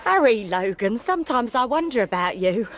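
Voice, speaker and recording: A woman's voice: a little high, big pitch swings, normal speed. Young working class english girl, fond but teasing, very up n down voice, radio play. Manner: colloquial, affectionately scolding. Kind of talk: naturalistic radio drama dialogue.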